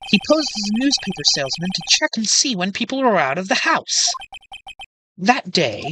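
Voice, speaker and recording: Rookie defense lawyer, young man female performer: earnest, exasperated, bright animated delivery, game dub. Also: mid-range voice, normal speed, slight background noise. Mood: earnest, reflective. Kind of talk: fan dub of a courtroom video game.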